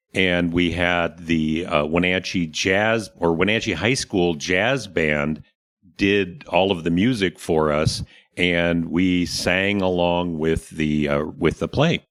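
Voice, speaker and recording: A man with a low-pitched voice talks casually as a show host. He explains things in a conversational way, now and then pausing to find the right word.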